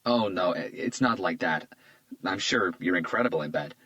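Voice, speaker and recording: A man's voice: low voice, moderate pace, fairly bright tone, no echo, lo-fi sound. A male narrator voicing an observant, understated detective who talks in a modest, matter-of-fact way.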